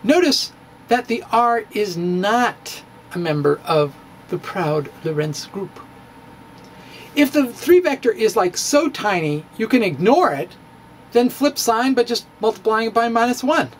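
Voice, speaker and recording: A middle-aged man giving a dramatic, comedic reading of a physics blog, halting and theatrical with emphatic pauses. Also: high voice, animated, slight background noise. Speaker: blogger, stand-up physicist. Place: indoor room with bookshelves. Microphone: handheld-style microphone on stand, close.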